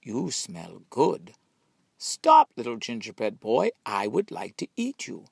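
A man voicing a hungry storybook cow with sniffing, drawn-out calls. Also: mid-range voice, huge pitch swings, creaky, husky, no echo, studio quality, heavily acted. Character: hungry cow, a female farm animal, slow and sniffing.

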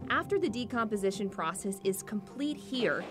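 A woman's voice: medium pitch, animated. Woman reporter narrating a news story over music. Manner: measured, deliberate voice-over delivery.

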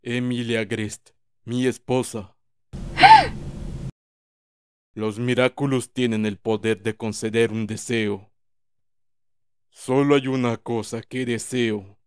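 A man's voice: medium pitch, fairly even intonation, unhurried, darkish tone, clear, resonant tone, dry recording, close to an everyday voice. Man acting cold villain father, dry sound. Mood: solemn. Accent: Latin American. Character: cold, imperious middle-aged villain, fashion-designer father. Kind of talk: Spanish-language comic fandub acting.

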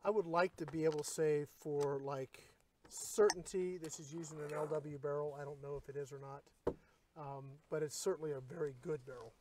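A man's voice: fairly high pitch, fairly even intonation, fairly quick, background noise. Easygoing man at an outdoor range. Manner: easygoing, explanatory. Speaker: host. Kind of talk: casual range chat.

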